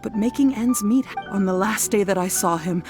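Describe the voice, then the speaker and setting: A woman's voice: mid-range voice, moderate pace, over loud music, close to an everyday voice. An actress playing a distressed, weary married woman in an anime game, polite and earnest. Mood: weary, sad. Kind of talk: anime game drama acting.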